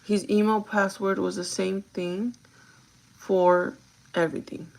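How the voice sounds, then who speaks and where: A young woman with a gravelly, groggy voice chats casually and directly to her viewers, giving advice in an informal vlog style. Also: medium pitch, flat delivery, slow, darkish tone, rough recording. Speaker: vlogger chatting while doing makeup.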